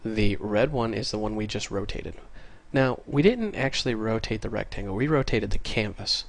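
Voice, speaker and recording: A man with a congested voice from a cold, casually talking through a programming tutorial and getting excited over small things. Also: lowish voice, normal speed, gravelly. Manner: casual, easily excited, chatty. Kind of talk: screen-recorded coding tutorial. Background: faint keyboard typing.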